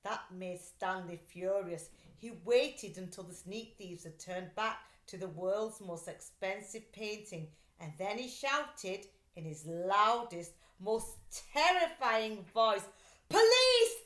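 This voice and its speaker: A woman reading a story aloud for children in an expressive, deliberate, dramatic way. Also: medium pitch. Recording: roomy echo.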